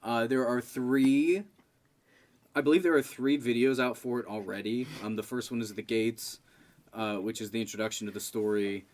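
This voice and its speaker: A man's voice: mid-range voice, fairly even intonation, normal speed, a little mellow. Casual man chatting about music. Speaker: podcast co-host.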